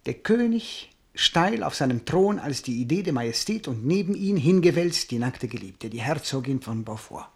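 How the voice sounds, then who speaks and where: An actor playing a smooth, cultivated schemer and art connoisseur in a radio drama, phrasing his words with insinuation. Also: mid-range voice, sing-song, normal speed, few pauses. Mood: suave. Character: smooth scheming Italian envoy, art connoisseur, coldly plotting. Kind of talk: German radio drama acting.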